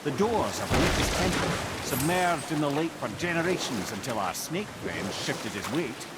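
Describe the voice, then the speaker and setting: An actor with a Scottish accent playing a wry, learned old advisor, telling tales theatrically in a video game. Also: male voice, fairly high pitch, animated, moderate pace, very noisy, lightly acted. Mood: informative. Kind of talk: theatrical storytelling in game dialogue.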